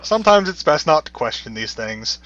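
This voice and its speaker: Laid-back male game master: bright, forceful voice, lots of fillers, dull lo-fi sound. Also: on the high side, a little fast, slight background noise. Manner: relaxed, laid-back narration with frequent fillers. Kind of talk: narrating a tabletop game. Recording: dull lo-fi recording.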